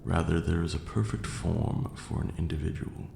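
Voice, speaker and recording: A man playing a stern, aloof vampire lord in an ASMR roleplay, speaking in a formal, haughty way with a gravelly, breathy near-whisper. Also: low-pitched, warm tone. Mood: patient, instructive. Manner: formal, haughty, slow scolding lecturing delivery. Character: stern aloof ancient male vampire lord, grudgingly caring. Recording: roomy echo, clear background noise.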